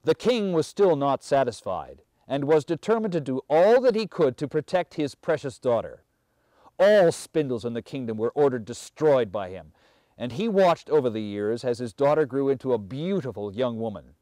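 Male storyteller, fifties, mellow tone, lively swooping intonation, fairy tale narration, deliberate phrasing. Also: medium pitch, no room sound. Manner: measured, theatrical, deliberate phrasing. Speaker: storyteller reading aloud.

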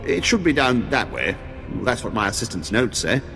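An actor playing a pompous, cowardly, whining Imperial official in a video game, haughty and fussy. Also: male voice, mid-range voice, very animated, normal speed, vocal fry. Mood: nervous, fussy. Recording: long hall reverb, music behind.

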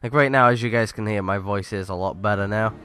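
A man's voice: on the low side, fast talker, a bit bright, clean tone. A man commentating on a racing game casually, a bit nasal from a cold, rambling with lots of ums. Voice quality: slightly nasal from a lingering cold. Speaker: gaming YouTuber. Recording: game audio noise behind. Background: racing game sounds.

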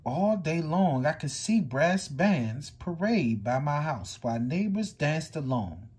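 Husky man reading a storybook aloud. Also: takes it slowly. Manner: expressive storytelling with big swings in pitch and loudness. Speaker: picture book reader. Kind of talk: reading a picture book aloud.

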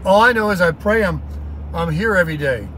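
A man's voice: high-pitched, expressive, fairly quick, bright, forceful tone, poor audio. A middle-aged man vlogging from his car, rambling in a casual, folksy, upbeat way. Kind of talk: casual rambling talk while driving. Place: inside a moving car. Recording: faint road noise in car. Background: road and car noise.

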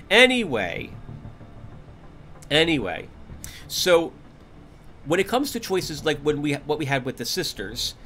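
A man talks to his gaming audience from his computer at home, rambling and candid, with restarts and small laughs. His voice is bright and lively, sitting a little higher than most, but he is stuffy from a cold. A faint hiss runs under the recording. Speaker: gaming channel host. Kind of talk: casual talk to viewers over gameplay.

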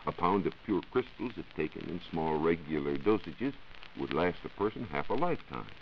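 An American man giving a scripted, measured science talk as a reporter on a 1940s radio show. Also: sits low, expressive. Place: radio studio. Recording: muffled 1940s radio recording with hiss.

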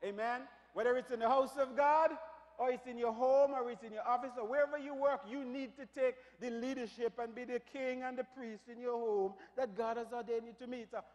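Raspy-voiced preacher in a reverberant church. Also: male voice, extremely high pitch, fairly even intonation, a little fast. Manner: builds from quiet to loud, preaching fervour. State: slightly raspy voice from shouting. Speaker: pastor. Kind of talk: sermon. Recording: long reverb of a church hall.

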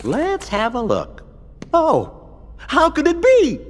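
A man's voice: extremely high pitch, slow pace, exaggerated voice. A man voicing a tiny, fussy, genteel male cartoon cricket who keeps records, in a video game. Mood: pleased, confident, then distraught. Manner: precise, genteel, expressive. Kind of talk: video game cutscene voice acting. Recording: long hall reverb, music behind.

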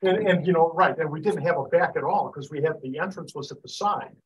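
A man in a casual group chat, halting and repeating words as he talks. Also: on the high side, rough recording. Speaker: study group participant. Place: meeting room with conference table.

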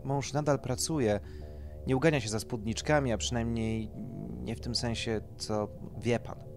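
A man's voice: medium pitch, steady tone, normal speed, music in the background, lightly acted. A man voicing a snobbish, gossipy society wife in an audio story, indignant and vulgar, with emphatic delivery. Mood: confiding.

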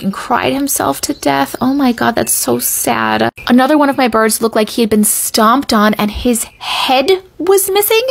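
A woman's voice: a little high, gravelly. A middle-aged woman hosting a creepy story video, reading aloud expressively and dramatically with casual asides. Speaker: host reading viewer-submitted scary stories.

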